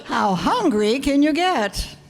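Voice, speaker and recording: Woman as high-voiced wisecracking teacher, echoey hall. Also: big pitch swings, slow pace, full character voice. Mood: wry, exasperated. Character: wisecracking, exasperated female high-school teacher. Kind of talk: 1940s radio comedy acting. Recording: very echoey hall stage recording.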